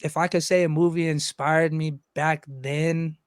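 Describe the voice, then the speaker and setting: Guest rapper, young man, loose rambling talker, a bit high-pitched, dry recording. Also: little pitch movement, unhurried, no echo. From Los Angeles. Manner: rambling, loose, swears freely. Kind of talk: casual interview chat.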